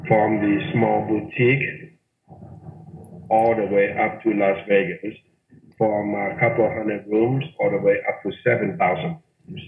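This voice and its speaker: A middle-aged man from Thailand, speaking in a lowish voice over a tinny phone line with a roomy echo. He talks as a hotel manager in an interview. He is animated and repeats words for emphasis. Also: a little slow. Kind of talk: interview guest. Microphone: phone or call line.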